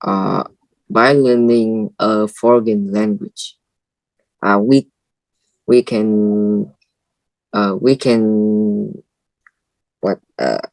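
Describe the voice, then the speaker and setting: Woman student, super slow and hesitant, dry close sound, fumbling for words. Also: rumbling low, steady tone, a little mellow, no echo, low-quality recording. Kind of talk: halting answers in a lesson.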